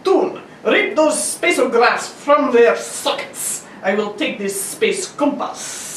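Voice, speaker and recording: A brisk, commanding male scientist, read by a woman in a playful table read. The voice is high and animated. It sounds far from the mic in an echoey room. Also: slight background noise. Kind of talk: hammy table read of an old radio serial. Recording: roomy, distant mic.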